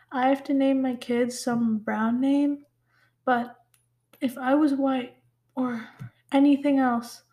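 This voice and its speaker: A woman's voice: sits high, a little mellow. A teenage girl of Pakistani heritage hosting her own podcast, rambling and teasing herself with lots of "like" and "um". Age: teens. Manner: rambling, self-teasing, full of "like" and "um". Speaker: podcast host. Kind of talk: casual solo podcast chat.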